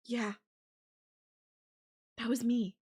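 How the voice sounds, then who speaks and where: A woman's voice: on the high side, little pitch movement, a little mellow, husky, full character voice. A woman playing a possessive, sarcastic and menacing yandere girlfriend in an audio roleplay, talking with sweet condescension. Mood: irritated, stressed. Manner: slow, sarcastic, sweetly condescending with veiled threats. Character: possessive, menacing yandere girlfriend holding her partner captive. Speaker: voice actor.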